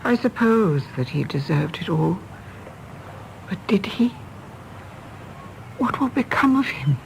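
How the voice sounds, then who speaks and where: An actress playing a weary, self-reproachful middle-aged widow with an English Received Pronunciation accent in a radio drama. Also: female voice, mid-range voice, sing-song, slow, creaky, slight background noise, full character voice, low-quality recording. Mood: guilty, anguished. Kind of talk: British radio drama acting.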